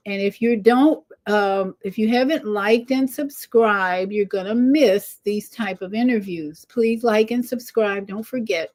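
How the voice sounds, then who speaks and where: A woman's voice: medium pitch, darkish tone. Woman host speaking earnestly and conversationally. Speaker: show host. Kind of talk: informal monologue to viewers and interview.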